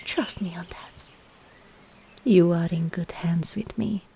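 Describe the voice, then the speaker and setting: A woman playing a sly, coaxing witch herbalist in an ASMR roleplay, speaking softly and often slipping into a whisper. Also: on the low side, steady tone, slow, creaky, a little hiss or hum. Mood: soothing, sly.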